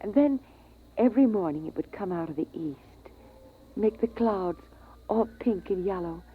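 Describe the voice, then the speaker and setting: An actress playing a fragile, distraught mother with an American accent in a 1950s radio drama, halting and dreamy as she clings to memories. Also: medium pitch, lively intonation, slightly rough. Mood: dreamy, longing. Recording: muffled, tinny vintage radio recording.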